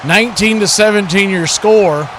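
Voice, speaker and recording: Male football play-by-play host, high voice, live stream with clear background noise. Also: moderate pace. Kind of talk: live American football commentary.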